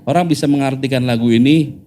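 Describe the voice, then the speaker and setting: Male band member guest from Jakarta, Indonesia, rambling podcast chat, mid-pitched, faint room ambience. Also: fast, dark tone, slight background noise. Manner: rambling, laughs often, slangy.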